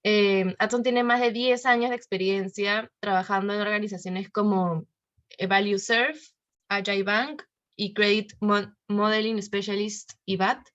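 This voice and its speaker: A woman's voice: medium pitch, steady tone, a little slow, fairly bright tone. A woman host introducing a guest speaker over a video call, in a chatty, informal way. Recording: dull video call audio.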